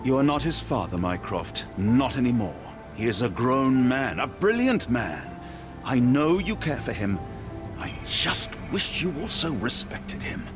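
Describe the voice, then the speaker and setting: Casting: a loyal British Victorian doctor for a game, principled, articulate, very animated delivery over music. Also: male voice, mid-range voice, measured pace. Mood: grave, sincere. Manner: earnest, articulate, formal. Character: loyal, principled Victorian male doctor, steadfast companion to detective. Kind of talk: video game cutscene acting. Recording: music behind the voice.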